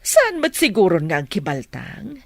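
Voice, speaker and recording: A woman's voice: on the low side, huge pitch swings, normal speed, vocal fry, no room sound, heavily acted. An actress playing a scheming, domineering middle-aged mother in an Ilocano radio drama. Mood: plotting.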